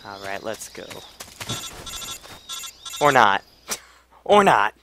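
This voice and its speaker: Slow-talking man doing offhand game commentary. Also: fairly low pitch, big pitch swings, noisy background. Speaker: gamer recording a playthrough. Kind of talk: casual gameplay commentary. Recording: game soundtrack behind the voice. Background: video game music and sound.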